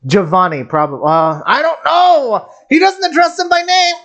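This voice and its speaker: Excitable, rambling male game streamer. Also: extremely high pitch, moderate pace. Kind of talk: casual live game commentary.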